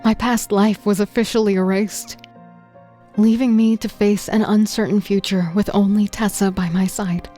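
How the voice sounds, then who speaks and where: A woman's voice: mid-range voice, little pitch movement, moderate pace, dark tone, soft background music. Woman narrating an audio drama. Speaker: narrator and lead voice actor.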